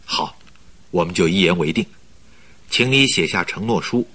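An actor in a Mandarin radio drama playing a polite, flattering company recruiter who talks in a measured, persuasive way. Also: male voice, low voice, exaggerated voice. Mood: coaxing. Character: smooth-talking male company recruiter, flattering, persuasive schemer.

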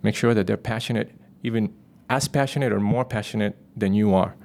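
Earnest low-voiced businessman. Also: a little fast, gravelly, darkish tone. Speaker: business development manager. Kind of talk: casual interview talk.

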